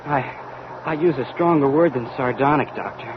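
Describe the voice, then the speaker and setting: A man's voice: normal speed, close to an everyday voice. Actor as earnest young crewman, noisy old radio. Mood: hesitant, earnest. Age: young adult. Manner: hesitant, respectful, earnest. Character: young, earnest, deferential physicist and rocket radio operator. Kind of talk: vintage American radio drama acting. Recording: muffled vintage radio recording, loud hiss, rumble.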